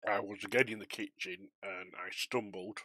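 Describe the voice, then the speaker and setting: Male streamer, low but bright, forceful voice, chatty and self-deprecating, recorded close and dry. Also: fairly even intonation, normal speed, no room sound. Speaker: streamer, volunteer air traffic controller on a flight simulation network. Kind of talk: casual chat with viewers while controlling.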